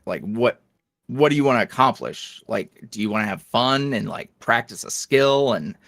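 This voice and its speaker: A man, an ASMR roleplay creator, chatting casually as a guest in an interview, animated and self-deprecating with plenty of fillers. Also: mid-range voice, moderate pace, creaky, no room sound, studio quality.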